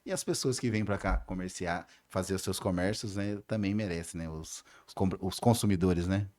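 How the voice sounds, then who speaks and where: A man's voice: low voice, normal speed, darkish tone. A Brazilian man answering questions in a conversational way, as a political candidate being interviewed.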